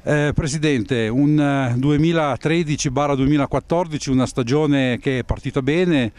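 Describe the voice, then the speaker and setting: A man's voice: mid-range voice, moderate pace, a little mellow, dry recording. Middle-aged male reporter outdoors on snow. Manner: formal questions with hesitations. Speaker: TV interviewer. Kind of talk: outdoor TV interview. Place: outdoors on snowy ski slope. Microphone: handheld mic.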